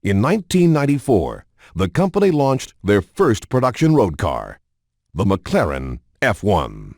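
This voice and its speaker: A man reading a scripted documentary narration in a measured, polished announcer delivery. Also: lowish voice, huge pitch swings, a little mellow, dry recording, clean studio sound.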